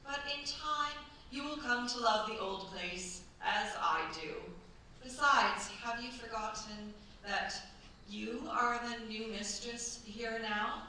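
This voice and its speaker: A woman plays a shrewd older mistress of a French chateau in a staged radio drama. She is commanding yet coaxing, unhurried and bright in tone. The voice rings through a very echoey theatre, some way from the mic. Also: fairly high pitch. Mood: persuasive. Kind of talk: staged radio play acting. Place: theatre stage at a cultural centre. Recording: very echoey stage, distant mic, faint noise.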